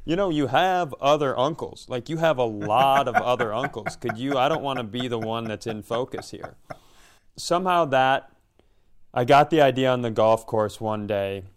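A man co-hosting a casual chat, thinking aloud in a halting, reflective way and often breaking off to rephrase. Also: medium pitch. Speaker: podcast co-host.

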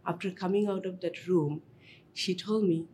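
A woman psychiatrist from Bangladesh with a Bangladeshi accent, telling a personal story in a lively way with non-native phrasing. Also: lowish voice, normal speed, a little hiss or hum. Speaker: child and adolescent psychiatrist.

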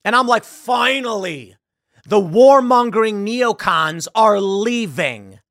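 Commentary host, thirties male, high expressive voice, dry studio sound, impassioned and mocking. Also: takes it slowly, no echo. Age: 30s. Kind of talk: live political commentary.

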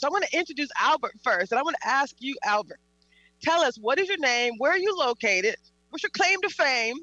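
A woman's voice: on the high side, fairly bright tone, no echo, rough recording. A woman hosting an upbeat talk show, speaking in an enthusiastic, effusive way. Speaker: show host.

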